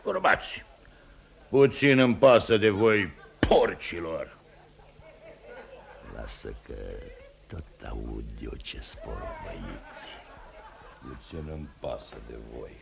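Deep, gravelly, sneering busybody. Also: male voice, sing-song, pauses often, a crowd in the background, exaggerated voice. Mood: contemptuous. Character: prickly, nosy eavesdropper, sneering and insulting intruder. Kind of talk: theatrical radio drama acting.

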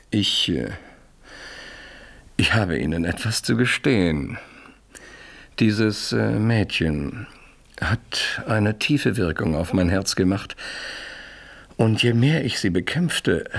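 An actor playing a worldly, gallant French marquis in a German radio play, with an urbane, theatrical manner. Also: male voice, low-pitched, creaky, heavily acted. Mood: confessional, lovelorn.

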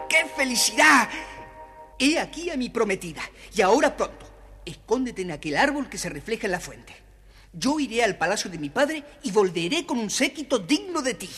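An actor playing an eager, lovestruck young prince in a children's fairy tale, with theatrical exclamations. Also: high voice. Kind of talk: children's audio story acting.

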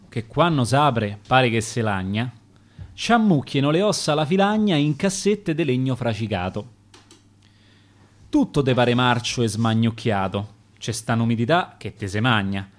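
A man with a Roman accent reciting his own rhymed sonnets in a measured, theatrical way for a radio broadcast. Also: medium pitch.

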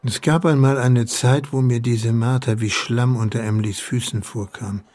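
A man's voice: mid-range voice, fairly even intonation, moderate pace, a little mellow, no room sound, lightly acted. An actor playing a kindly, devout older working man in a German radio drama, earnest, heartfelt and plain-spoken. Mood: gentle, remorseful.

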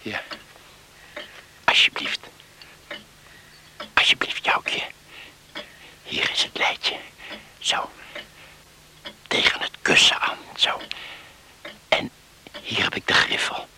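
A man playing a stubborn, embittered farmer father in a Dutch radio play, gruff, terse and halting. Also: bright, forceful tone, in a whisper. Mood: anxious, halting.

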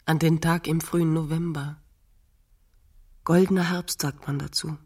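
An actress playing an impatient, practical woman in a radio play, with a husky, creaky voice and a terse, insistent manner. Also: sits low, steady tone, measured pace, a little mellow. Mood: wistful. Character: impatient, practical woman urging her weary partner on.

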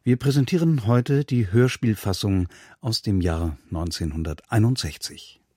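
A man's voice: lowish voice, a little slow, dark tone, slightly rough, no echo, clean studio sound. A man presenting a scripted introduction on the radio.

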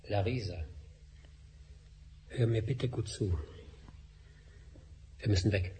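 An actor playing a measured, technical male scientist who turns gentle and tender with his family in a radio play. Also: lowish voice, slight background noise. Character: male scientist Doktor Spassky, calm and determined.

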